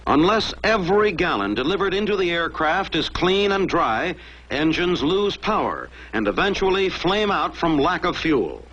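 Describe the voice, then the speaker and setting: A man's voice: fairly high pitch, very animated, normal speed, fairly bright tone, a little hiss or hum. An American man narrating a mid-century military training film from a script in a dramatic, emphatic manner. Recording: dull lo-fi old film soundtrack.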